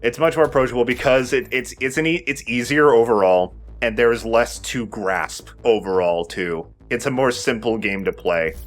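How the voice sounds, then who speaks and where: A man's voice: medium pitch, moderate pace, few pauses, soft background music. A man hosting a let's play, chatting casually about a game and often rephrasing himself.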